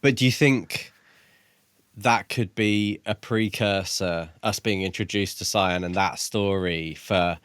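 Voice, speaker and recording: A man's voice: on the low side, slow pace, fairly bright tone, smooth, no echo. A man co-hosting a podcast, talking casually and reflectively as he pieces together an analysis of a book. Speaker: podcast co-host.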